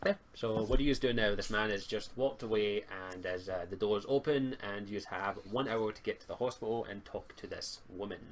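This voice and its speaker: A man's voice: lowish voice, a little fast, hardly any pauses, a little hiss or hum, low-quality recording. A man narrating a tabletop roleplaying game as the game master.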